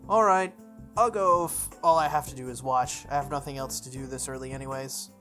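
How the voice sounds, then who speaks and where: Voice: medium pitch, a little fast, fairly bright tone, close to an everyday voice. A game player voicing a courteous, guarded teenage schoolgirl in a visual novel, speaking formally, with music behind. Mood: reserved, dry. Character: polite, guarded teenage honor-student girl. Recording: music under the voice.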